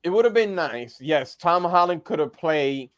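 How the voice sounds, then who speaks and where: A man's voice: a little high, expressive, a little slow, no echo. A man chatting casually as a panelist on a talk show. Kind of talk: casual chat.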